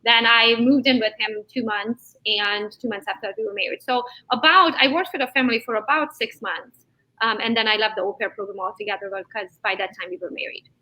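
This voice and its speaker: Bright-voiced woman, Hungarian speaker from Transylvania. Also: on the high side, brisk, low-quality recording. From Transylvania, Romania. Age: around 40. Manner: lively, storytelling, laughs often. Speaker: real estate agent guest. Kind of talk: interview guest chat.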